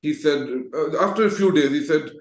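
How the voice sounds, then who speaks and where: A man's voice: fairly high pitch, a little fast. A middle-aged man with a Pakistani accent, telling his own story conversationally as an interview guest. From Lahore, Pakistan. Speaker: interview guest, Toastmaster educator. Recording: echoey, roomy voice sound.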